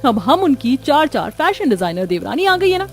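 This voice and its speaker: A woman's voice: high-pitched, sing-song, normal speed, soft background music. A woman voicing a caring, outspoken young fashion designer in a family cartoon. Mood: sympathetic. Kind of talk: Hindi cartoon voice acting.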